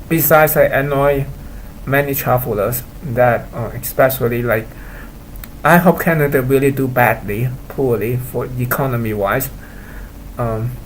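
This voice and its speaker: Man, Cantonese first language, casual vlog rant, hesitant and annoyed, mid pitch, faint noise behind. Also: poor audio. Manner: halting, full of fillers and restarts, complaining. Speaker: vlogger. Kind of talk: casual monologue to camera.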